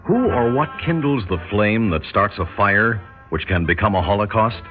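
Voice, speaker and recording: A man's voice: lowish voice, normal speed, narrow, tinny audio. A man narrating a mid-century radio drama as announcer, in a portentous, dramatic style. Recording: thin band-limited old radio recording. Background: loud dramatic music.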